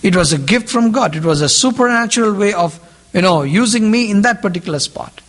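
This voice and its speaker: Man, fairly high voice, non-native English speaker, sermon, repeats lines, asks listeners questions. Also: expressive, a little fast, fairly bright tone. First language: not English; has a different mother tongue. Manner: repeats key phrases, asks the audience questions. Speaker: preacher. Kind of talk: sermon to a group.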